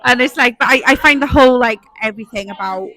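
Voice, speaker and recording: Bubbly bright-voiced woman host. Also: on the high side, quick pace, poor audio. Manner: bubbly, chatty, giggly. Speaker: podcast host. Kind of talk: casual interview. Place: community event.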